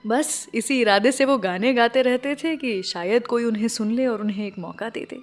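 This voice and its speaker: A woman's voice: a little high, moderate pace, soft background music. A woman hosting a radio show, presenting in a conversational way and speaking directly to listeners. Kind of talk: scripted radio show presenting with film music trivia.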